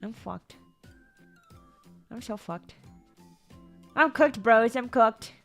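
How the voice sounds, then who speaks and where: A young woman chatting casually on a livestream. She rambles, repeats and stumbles over words, and her voice sometimes jumps suddenly into high squeaks. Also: lively intonation, takes it slowly. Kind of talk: casual stream chat. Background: faint background music.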